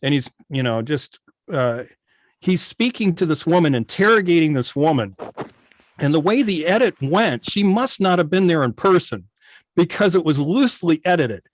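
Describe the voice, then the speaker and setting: A man's voice: fairly high pitch, expressive, moderate pace, dry recording, low-quality recording. A man speaking as a guest in an online meeting, explaining details in a casual, analytical way. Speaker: guest, old-time radio station operator.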